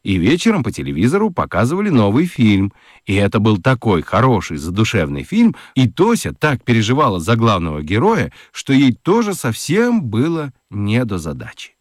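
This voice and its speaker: A man narrating a children's radio play in a gentle, very expressive storytelling manner. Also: lowish voice, normal speed, dry recording, studio quality.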